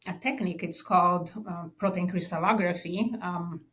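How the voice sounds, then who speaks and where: A woman in her fifties from Brazil with a Brazilian Portuguese accent, speaking as an interview guest in a measured way with many small pauses. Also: lowish voice, steady tone, fairly bright tone. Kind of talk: casual interview.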